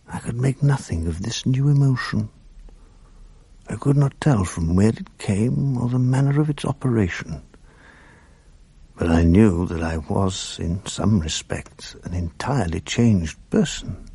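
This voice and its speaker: An actor playing a grown man who narrates his memories of youth in a radio play, thoughtful and measured. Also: warm tone, breathy edge, dry recording, heavily acted. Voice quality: slow, measured, low-key delivery. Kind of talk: reflective first-person narration in a radio play.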